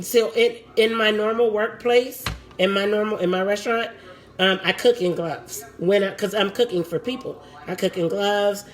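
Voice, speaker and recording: A woman with a raspy voice, hosting a casual live cooking demo from her home kitchen and chatting with her viewers as she works. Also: mid-range voice. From Puerto Rico.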